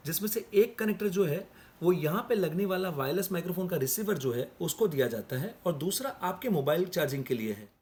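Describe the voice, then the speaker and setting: A man's voice: high-pitched, darkish tone. A man giving an explanatory product demonstration, addressing viewers as friends. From India. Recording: clear background noise and ambience.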